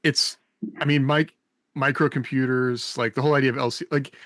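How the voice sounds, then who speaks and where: A man's voice: medium pitch, steady tone, brisk, a bit bright, no room sound. Man hosting a casual chat, dry close sound. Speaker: podcast host.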